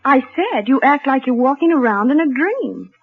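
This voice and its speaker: An actress playing a brisk, impatient bank cashier in a 1940s radio drama. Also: female voice, high-pitched, very animated, slightly rough, heavily acted. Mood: puzzled, impatient. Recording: muffled old radio recording, music behind.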